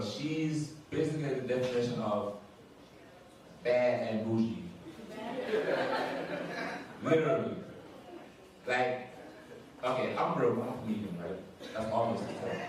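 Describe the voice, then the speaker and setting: A young man from Ghana with a Ghanaian accent performing a stand-up comedy set, loose and laughing, jumping into quick impressions of other people. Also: mid-range voice, lively intonation, background noise. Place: comedy club stage, room echoing. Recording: echoey club from far off.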